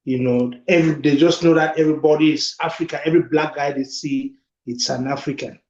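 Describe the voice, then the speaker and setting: A man's voice: fairly high pitch, steady tone, a little fast, a little mellow. Man hosting, in an echoey, dull-sounding room. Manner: friendly, asks short questions. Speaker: interview host. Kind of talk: hosting a casual interview.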